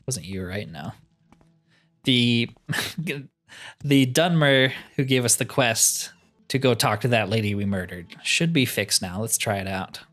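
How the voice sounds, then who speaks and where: A man's voice: mid-range voice, expressive, slow pace, fairly bright tone, faint music behind. A man giving casual game commentary, thinking aloud in an easygoing way. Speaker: gamer narrating own roleplay playthrough.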